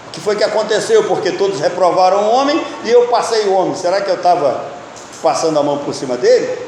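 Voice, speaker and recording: A man's voice: high voice, animated, fast, a little mellow, a little hiss or hum. A man preaching a teaching sermon, often asking the listeners questions. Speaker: pastor and Bible teacher. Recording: echoey hall with long reverb.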